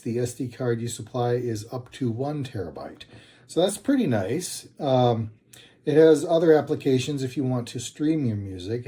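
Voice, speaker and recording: A man giving a casual, unscripted product review, speaking in a halting, hesitant way and often correcting himself. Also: medium pitch, warm tone. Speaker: product reviewer, channel host.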